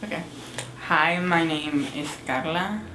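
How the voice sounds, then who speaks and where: A sixteen-year-old girl from El Salvador answering interview questions haltingly, repeating words in simple, English. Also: low-pitched, pushed and bright, reverberant, slight background noise. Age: teens.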